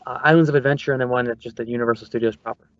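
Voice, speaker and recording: A man's voice: medium pitch, steady tone, very fast, a bit bright, highs cut off. A man presenting as a guest consultant, talking casually from a small soundproof phone booth. He is good-humored and laughs easily. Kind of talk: casual conversation.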